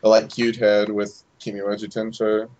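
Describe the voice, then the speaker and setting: Casting: male podcast panelist, flat intonation, matter-of-fact, dry but dull-sounding recording. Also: fairly low pitch, moderate pace. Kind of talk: casual fan discussion. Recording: dull lo-fi close audio.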